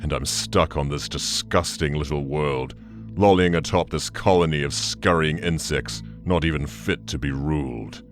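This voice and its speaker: An actor playing an ancient, bitter demon conqueror in an audio drama, brooding and contemptuous in a menacing monologue. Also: male voice, very deep voice, faint music behind. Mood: anguished bitterness. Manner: slow, menacing, brooding delivery.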